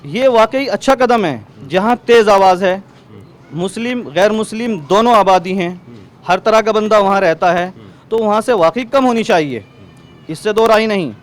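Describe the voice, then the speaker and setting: A man's voice: high-pitched, normal speed. A man with a western Uttar Pradesh accent giving an emphatic, explanatory interview answer, repeating points for stress. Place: outdoors in a residential lane. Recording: faint outdoor street noise.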